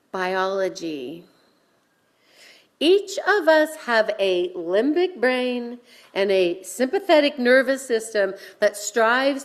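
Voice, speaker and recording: A woman minister delivering a sermon in a deliberate way, with pauses and dramatic emphasis. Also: mid-range voice.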